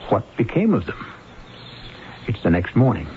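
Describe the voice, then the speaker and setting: A man hosting an old radio mystery, narrating scripted commentary in a theatrical, deliberate manner. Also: lowish voice, huge pitch swings, a little hiss or hum. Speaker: host and narrator. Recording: very muffled old radio recording. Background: loud dramatic music.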